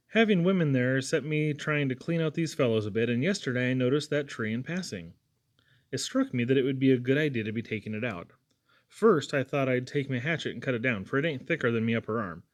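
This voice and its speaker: A man's voice: mid-range voice, close to an everyday voice. Man reading as an earnest young country lad. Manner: folksy, wordy, wonder-struck storytelling. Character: earnest young Irish lad marvelling at swamp insects.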